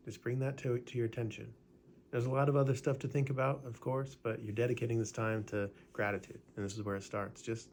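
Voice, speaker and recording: A man leading a guided gratitude meditation in a relaxed, conversational way, often adding "right?" and small fillers. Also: fairly low pitch, slight background noise.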